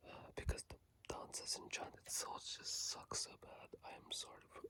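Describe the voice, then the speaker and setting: An ASMR host whispering casual, rambling game commentary into a phone microphone, often correcting themselves mid-sentence. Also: moderate pace. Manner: soft unvoiced whisper, rambling, with self-corrections. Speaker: ASMR gaming channel host.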